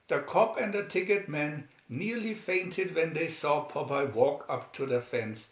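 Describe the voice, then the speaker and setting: A man narrating a children's cartoon story from a script, as a storybook reader. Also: on the high side. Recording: dull, roomy home recording.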